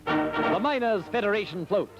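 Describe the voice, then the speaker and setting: High-pitched vintage newsreel man. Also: moderate pace, creaky. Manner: formal, declamatory, celebratory. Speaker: newsreel narrator. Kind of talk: 1940s newsreel scripted narration. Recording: dull old newsreel soundtrack, loud music.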